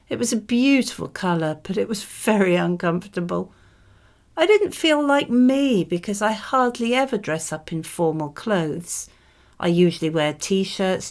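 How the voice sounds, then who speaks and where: A woman reading scripted model answers for a speaking exam in a measured, expressive way. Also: lowish voice.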